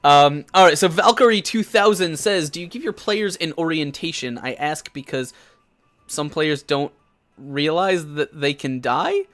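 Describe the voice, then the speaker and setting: A young man hosts a live stream in a loose, enthusiastic way and swears freely. His voice sits a bit high for a man. He trails off and restarts often as he chats. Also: measured pace, a bit bright. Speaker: host of a tabletop game talk show. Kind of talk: casual live-stream chat.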